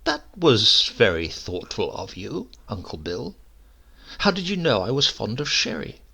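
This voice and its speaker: A man's voice: mid-range voice, huge pitch swings, unhurried, vocal fry, pushed and bright, husky, heavily acted. A man voicing a suspicious nephew, a writer who speaks with dry, pointed politeness, in a storybook reading. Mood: polite. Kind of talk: acted dialogue in a storybook reading.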